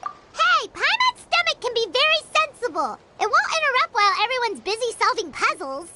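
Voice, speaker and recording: An actress voicing a tiny, excitable childlike fairy companion who talks about herself in the third person, in a video game. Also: female voice, very high-pitched, moderate pace, background noise. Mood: cheerful. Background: game music.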